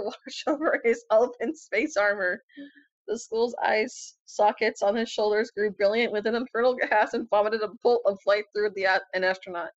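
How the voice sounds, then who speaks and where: A woman's voice: fairly high pitch, no echo. A woman co-hosting a casual read-aloud of fan fiction, somewhat hesitant, on a dull lo-fi recording. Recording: dull, lo-fi, dry close sound.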